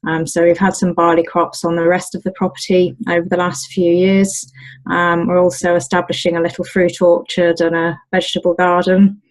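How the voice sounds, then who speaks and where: A British woman talking casually in an interview as the owner of an olive grove, pausing often with ums and restarts. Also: fairly low pitch, monotone, rough recording. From United Kingdom. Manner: hesitant, many ums and restarts.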